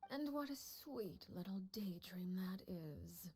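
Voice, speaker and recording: An actress playing a cold, composed woman who leads a household of spies, speaking in a measured, quietly menacing way. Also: on the low side, animated, slight background noise. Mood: cool. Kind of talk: video game dialogue. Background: game music.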